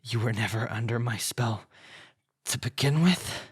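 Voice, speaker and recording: A man's voice: mid-range voice, slightly rough, dry recording, clean studio sound. A man playing a sinister, arrogant vampire lord in an ASMR roleplay, possessive and commanding. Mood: shocked, desperate. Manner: commanding, drawn-out, menacing.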